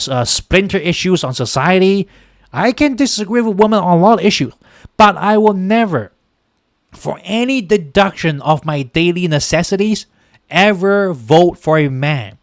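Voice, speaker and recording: Male stream host, high-pitched and impassioned, dry close-miked sound, reads chat names between rants. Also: no room sound. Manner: impassioned, repetitive, thanks chat viewers by name. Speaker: political livestream host. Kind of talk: heated political rant on a livestream.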